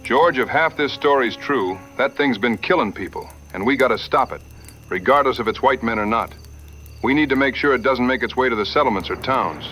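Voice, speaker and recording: A man's voice: mid-range voice, lively intonation, a little fast, sharp tone. A man playing a resolute, plain-spoken frontier hunter and tracker in an audio drama, with a folksy, determined manner. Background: music and night crickets.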